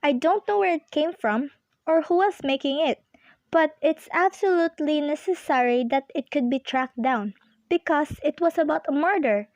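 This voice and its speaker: Woman acting high-voiced, fretful, demanding invalid wife. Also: moderate pace, no echo, dull audio. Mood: urgent. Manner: fretful, imperious, stammering. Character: anxious, demanding invalid wife, alone at night. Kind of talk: amateur radio play acting.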